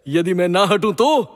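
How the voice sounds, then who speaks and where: A man's voice: high-pitched, heavily acted. A man playing a wicked, boastful, mocking king in a theatrical mythological audio drama. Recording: long hall reverb.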